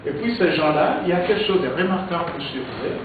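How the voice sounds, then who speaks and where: A man from Kinshasa, a politician giving interview answers in a halting way, repeating words and using many fillers. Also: a little high, lively intonation. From Congo (Kinshasa). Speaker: politician, presidential hopeful. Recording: echoey, distant, phone-like with noise.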